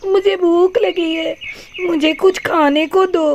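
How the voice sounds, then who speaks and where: A woman's voice: very high-pitched, steady tone, slow pace, a little mellow, highs cut off, a little hiss or hum. A woman voicing a plaintive, begging little baby bird girl in a children's cartoon. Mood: whining, hungry. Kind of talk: Hindi children's moral-story cartoon dub.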